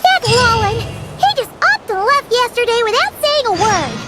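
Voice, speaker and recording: A woman's voice: extremely high pitch, big pitch swings, normal speed. A woman voicing a tiny chirpy floating companion girl who talks about herself in the third person. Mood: exasperated.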